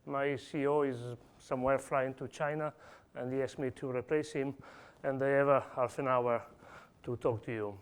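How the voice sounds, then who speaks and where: A man with an Italian accent giving a technical conference talk, pausing often with fillers and restarts. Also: medium pitch, steady tone, clean tone. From Italy. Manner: hesitant, many "uh" fillers and restarts. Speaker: conference speaker. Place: conference hall.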